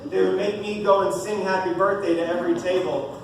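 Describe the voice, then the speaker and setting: A booming, high-pitched man in his thirties speaks as a parish youth minister, joking and upbeat with the audience. His voice comes from well away from the microphone and rings around a very echoey room. A steady noise sits under it. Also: a little fast, creaky. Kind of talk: parish talk to parents. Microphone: no mic, heard from far off. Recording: echoey room from far off, noisy.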